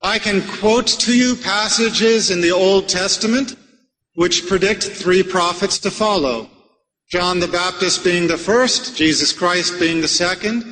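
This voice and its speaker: Male preacher from America, measured rhetorical lecture style, long reverb of a hall around him. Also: high voice, fairly bright tone. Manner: measured, rhetorical, addresses the audience with questions. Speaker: Islamic preacher. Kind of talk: religious lecture to a live audience.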